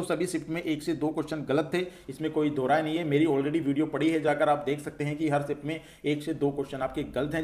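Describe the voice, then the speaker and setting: A man talks quickly in a slightly high voice, like a coach briefing students. He poses questions and answers them reassuringly, often repeating the point in an informal explainer style. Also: darkish tone. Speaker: exam-update coach and channel host. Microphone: voice-over for a screen recording.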